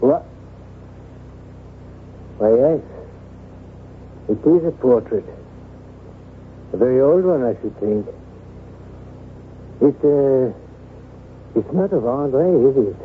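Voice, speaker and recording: An actor playing a courtly, cautious gentleman and loyal friend in radio drama style, polite and hesitant. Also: medium pitch, lively intonation, slow pace, pauses often. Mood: uneasy, probing. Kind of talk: 1970s radio drama acting. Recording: tinny, band-limited old radio recording with hiss.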